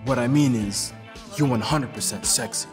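A man playing a slangy, smitten, none-too-bright teenage boyfriend who talks haltingly in a radio play. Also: medium pitch, music in the background, lightly acted. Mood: eager, awkward. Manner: halting, inarticulate, laid-back.

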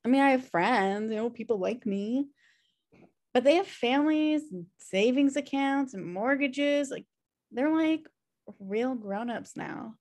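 A woman's voice: sits high, measured pace, a little mellow. An American woman reading the part of a flippant, irresponsible twin sister in a sitcom script. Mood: sheepish. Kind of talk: sitcom script table read. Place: indoor room, on a video call. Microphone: video call microphone.

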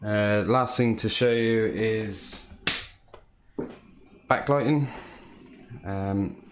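A man from the United Kingdom, a home cockpit builder, calmly walking through his hobby build with frequent "uh" fillers. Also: fairly low pitch, background noise. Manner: calm, unhurried, frequent "uh" fillers. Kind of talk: casual hobby walkthrough.

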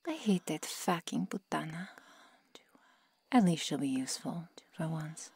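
Woman acting a slow, whispery, low-voiced vampire seductress. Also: lively intonation, creaky, slight background noise, heavily acted. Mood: concerned. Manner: slow, deliberate, hushed and coaxing. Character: protective, coolly menacing female vampire seductress. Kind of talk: ASMR roleplay, intimate whispering.

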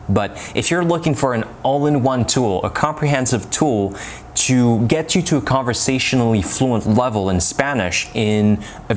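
Guy reviewing stuff at home, bit low voiced, lapel mic, restarts a lot. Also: slight background noise. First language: French. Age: young adult. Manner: talks with his hands, many fillers and restarts. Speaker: language-learning reviewer. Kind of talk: casual product review to camera. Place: home room with bookshelves and a lamp.

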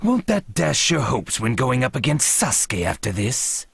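A man voicing a menacing, battle-hungry shark-man ninja in an English anime dub, gravelly and husky, with a taunting drawl. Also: mid-range voice, very animated, measured pace, fairly bright tone, no echo, heavily acted.

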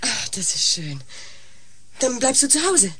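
A woman's voice: fairly high pitch, big pitch swings, a little slow, pushed and bright, husky, full character voice. An actress playing an anxious, tender, fussing middle-aged mother in a science-fiction radio drama.

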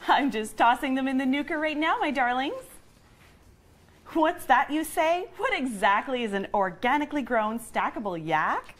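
A woman's voice: high-pitched, animated, normal speed, fairly bright tone. A woman playing a perky 1950s housewife and mother, delivering cheery sales patter like an old radio advert. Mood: perky, wholesome.

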